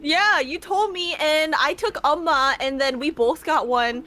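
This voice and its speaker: Co-host, woman with a cold, high voice, faint hiss, giggly sister banter. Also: measured pace. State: stuffy with a cold. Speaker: podcast co-host. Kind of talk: casual chat between sisters.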